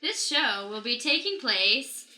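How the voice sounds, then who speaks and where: A woman's voice: on the high side, very animated, slow pace, sharp tone, echoey room. A woman improv actor introducing things in a casual, playful way.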